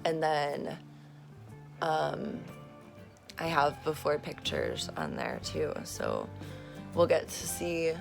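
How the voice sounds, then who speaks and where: A woman vlogging at home on her phone, her voice hoarse and rough, chatting in a meandering way with lots of fillers and casual swearing. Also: low-pitched, wildly swooping pitch, slow, pauses often, over music. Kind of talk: casual rambling vlog chat. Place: at home, in a room being turned into an office. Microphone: phone camera recording herself.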